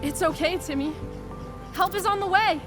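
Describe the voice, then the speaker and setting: A woman's voice: extremely high pitch, normal speed, over music. A woman voicing a determined, earnest young ladybug with an emphatic, pleading manner in an audio drama. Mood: tender. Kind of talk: audio drama cartoon acting.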